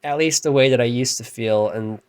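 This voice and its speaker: Brief: thirty-something man, light androgynous voice, mid-range pitch, rambling self-deprecating late-night rant. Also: fairly quick, smooth. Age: 30s. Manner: frustrated, self-deprecating, trailing off and restarting; keeps his voice down, with loud bursts. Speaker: musician and vlogger.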